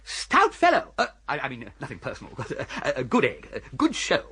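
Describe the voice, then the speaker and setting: An actor playing a breezy, dithering young English gentleman with an upper-class accent, who narrates and acts in a radio comedy. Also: medium pitch, wildly swooping pitch, fairly quick, husky, heavily acted. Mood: nervous, flustered. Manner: hesitant, bumbling, flippant. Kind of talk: full-cast radio comedy drama with narration.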